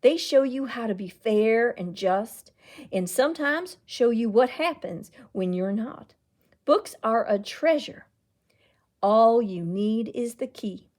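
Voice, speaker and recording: A woman's voice: fairly high pitch, expressive, slow pace, darkish tone. A woman voicing a feisty, wistful old aunt in a storybook, with a folksy, emphatic way of talking. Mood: earnest, wistful. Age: older. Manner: folksy, emphatic, scolding and tender by turns. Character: feisty, indignant, wistful old aunt who loves books.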